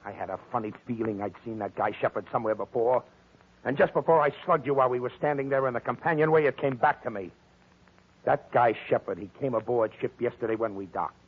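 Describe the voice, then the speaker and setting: A man's voice: medium pitch, fairly even intonation, fast, slightly rough, natural voice. An actor playing an anxious, worn-out young man on the run in an old-time radio drama. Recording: muffled tinny old radio recording.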